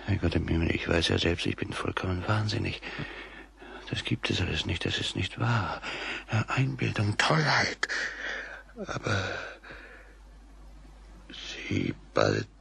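An actor playing a drunken, bewitched bridegroom in a German radio play, husky and halting, theatrical and agitated. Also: low voice, very animated, a bit bright, full character voice. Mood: desperate, frantic. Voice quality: husky, gravelly. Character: drunken, bewitched bridegroom, mocking then desperately frightened.

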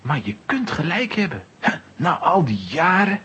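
Woman acting animated, sensible young boy. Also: on the low side, normal speed, slightly rough, exaggerated voice. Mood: doubtful. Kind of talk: children's audio drama acting.

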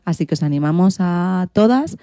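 A woman's voice: lowish voice, animated, normal speed, dark tone, smooth, dry recording. A woman with a Spain Spanish accent, presenting a scripted magazine radio show as its host.

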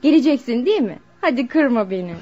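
A woman's voice: a little high, very animated, fairly quick, darkish tone, faint music behind. An actress playing a modern, rich young woman in a radio drama, playful, coaxing and coquettish. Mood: pouting, coaxing. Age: young adult. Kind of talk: Turkish radio drama acting. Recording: dull, lo-fi radio drama recording.

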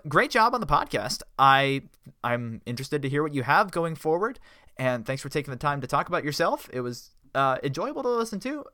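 A man's voice: fairly high pitch, pushed and bright, no echo, studio quality. A young man hosting a casual fan news podcast, chatty and upbeat, often correcting himself.

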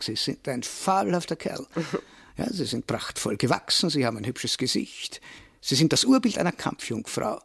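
A man's voice: medium pitch, gravelly, slightly rough, exaggerated voice. An actor playing a bitter, sardonic man in a radio drama, theatrical and mockingly polite. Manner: ironic, theatrical. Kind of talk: radio play acting.

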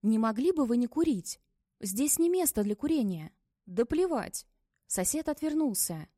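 A woman reads a story aloud in a steady, measured narrator's voice. Her tone is soft and warm, and the recording is dry and close. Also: a little high, no echo. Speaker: audiobook narrator. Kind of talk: scripted audiobook narration.

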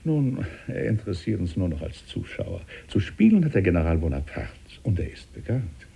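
A man playing a suave, calculating French statesman in a German radio drama, urbane, ironic and husky. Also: deep voice, very animated, normal speed, heavily acted. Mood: suavely ironic. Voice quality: husky, dark.

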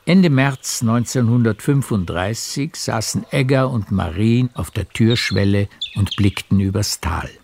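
A man narrating a radio play as a literary storyteller, with measured, deliberate phrasing. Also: fairly low pitch, lively intonation, gravelly, a little mellow, a little hiss or hum.

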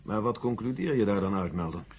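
A skeptical senior general played by a man in an old radio play. He sounds stiff and pompous, with a lowish voice on a muffled recording. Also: animated, a little fast, a little hiss or hum, lightly acted. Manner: formal, pompous, dismissive. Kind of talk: 1970 Dutch radio drama acting.

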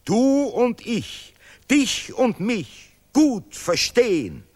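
A man playing a pompous patriotic artist in a radio play, declaiming in repetitive, deliberately broken German. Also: very high voice, big pitch swings, a little mellow, heavily acted. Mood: solemn, exalted. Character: pompous Nobel laureate artist, zealous patriot of language and fatherland.

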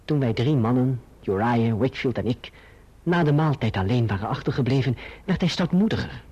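Earnest young gentleman in radio drama: formal, husky, expressive pitch, muffled recording. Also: brisk. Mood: resentful, reflective. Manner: formal, literary. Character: earnest, mannerly young gentleman recalling his past. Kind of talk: radio play narration and dialogue.

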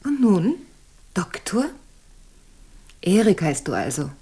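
A woman's voice: fairly low pitch, big pitch swings, slow pace, background noise. An actress playing a frank, self-assured lady in a German radio crime drama, speaking candidly with a teasing edge. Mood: tender, relieved.

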